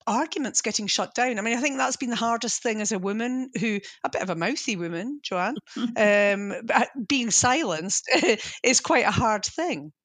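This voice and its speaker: A woman with a slightly high voice conducts a conversational interview. She is recorded very dry, with no room sound, and talks in a reflective, informal way. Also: expressive, moderate pace, fairly bright tone, no room sound.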